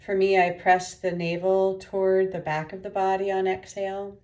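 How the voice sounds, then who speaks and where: A woman leading a guided meditation as a teacher, giving gentle, unhurried instructions with pauses. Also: medium pitch, little pitch movement, lo-fi sound.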